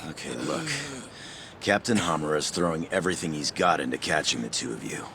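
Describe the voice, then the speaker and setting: An actor playing a wary, edgy yakuza gangster in a video game cutscene, with a gravelly voice and a rough, defensive, insistent way of talking. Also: male voice, a bass voice, lively intonation, a little fast, pushed and bright, a little hiss or hum, heavily acted.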